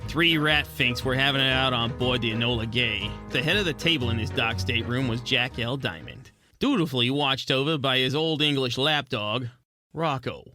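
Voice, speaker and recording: A man's voice: mid-range voice, normal speed, close to an everyday voice. A man narrating as a wry, world-weary, slangy hard-boiled gumshoe in old detective radio style. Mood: wry narration. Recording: music under the voice.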